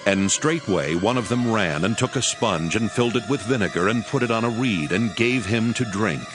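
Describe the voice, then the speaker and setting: A male narrator reads scripture as a solemn, measured dramatized story. His voice is fairly low, and music plays clearly behind it.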